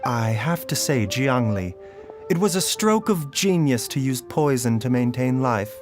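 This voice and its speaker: A man plays a young doctor from a video game with a measured, polite voice. He is gentle yet guarded, staying composed over faint background music. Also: medium pitch, animated, natural voice. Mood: composed, admiring. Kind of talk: scripted video game voice acting.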